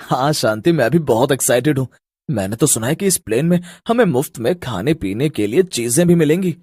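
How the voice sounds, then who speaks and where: Husky, fretful cartoon husband, acted by a man. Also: medium pitch, lively intonation, fairly quick, a little mellow, no echo, studio quality. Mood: excited.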